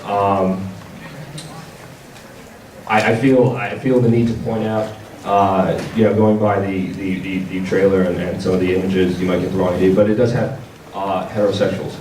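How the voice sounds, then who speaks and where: Halting low-voiced man in an echoey room. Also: flat delivery, a little mellow. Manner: halting, hesitant delivery with stammered repeats. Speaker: visual novel publisher presenting at a convention panel. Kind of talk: informal panel presentation. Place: large indoor convention panel room. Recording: echoey, noisy convention room from far off.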